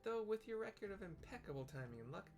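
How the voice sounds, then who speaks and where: A man's voice: sits high, big pitch swings, quick pace, darkish tone, soft background music, voice pushed up. A man voicing a small, cheerful young woman helper in a video game, chatty and eager, read aloud from on-screen dialogue. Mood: chirpy.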